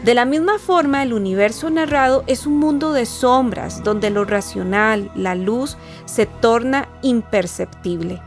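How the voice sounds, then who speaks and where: A woman speaks in a fairly high voice over background music. She reads scripted explanatory narration in a formal, didactic way, as the presenter of an educational programme. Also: a little slow, hardly any pauses. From Costa Rica.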